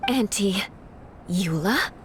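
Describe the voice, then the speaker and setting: Poised knight with lively intonation. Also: female voice, mid-range voice, takes it slowly, slight background noise. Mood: affronted. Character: proud, cool, poised young noblewoman knight.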